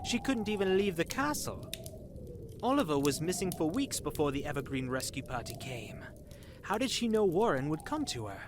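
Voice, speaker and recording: A woman's voice: deep voice, big pitch swings, normal speed, noisy background. A woman playing a blunt, impatient listener in an audio drama, questioning everything sceptically. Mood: puzzled. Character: inquisitive, blunt, impatient listener named Klein.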